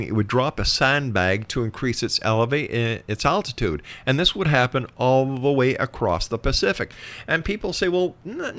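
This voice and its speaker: A man with a medium-pitched voice hosts a radio interview. He explains in an emphatic, conversational manner, sometimes shifting into reading out information. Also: a bit bright. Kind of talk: conversational interview with read-out facts.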